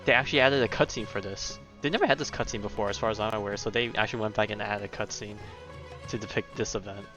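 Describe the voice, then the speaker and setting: Fast-talking low-voiced man streaming a game. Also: fairly even intonation, over music. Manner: offhand, chatty. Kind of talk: casual reaction commentary.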